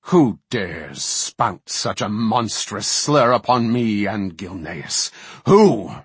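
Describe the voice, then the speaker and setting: A man's voice: lowish voice, expressive, slow, breathy edge, dry recording, exaggerated voice. A man voicing a proud, hot-tempered old warrior king in a fantasy audiobook, boastful and declamatory. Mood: outraged. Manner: forceful, declamatory. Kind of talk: fantasy audiobook character acting.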